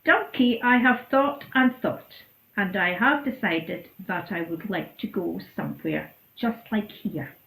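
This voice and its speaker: A woman voicing a fussy, cheerful young male goat who talks in a bouncy, excitable way. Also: medium pitch, measured pace, creaky. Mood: excited. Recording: roomy echo.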